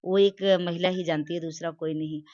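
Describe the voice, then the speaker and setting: A woman's voice: lowish voice, steady tone, normal speed, a little mellow, dry recording. Woman being interviewed, emphatic and opinionated, repeats stuff for stress, dry dull audio. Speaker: interview guest giving opinions. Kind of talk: conversational interview commentary.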